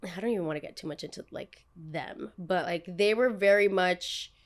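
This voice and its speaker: A young woman with a New Jersey accent hosting a podcast, telling stories in an animated, emphatic way with quick asides. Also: lowish voice. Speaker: podcast host. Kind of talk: casual chat.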